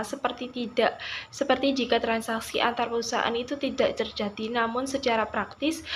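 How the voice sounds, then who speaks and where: A young woman giving a formal class presentation, halting and repeating half-finished words. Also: on the high side, a little hiss or hum. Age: young adult. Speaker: university student presenter.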